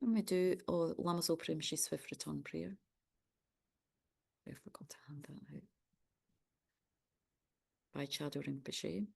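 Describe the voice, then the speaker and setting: A woman Buddhist nun leading a prayer ceremony for an online group, reciting in a steady, measured way and chatting warmly with participants. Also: low-pitched, gravelly, breathy edge. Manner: friendly, chatty greeting of participants.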